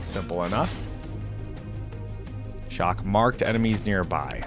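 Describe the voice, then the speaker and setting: Animated man giving casual gaming commentary. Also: low-pitched, slow pace, music in the background. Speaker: reaction video host.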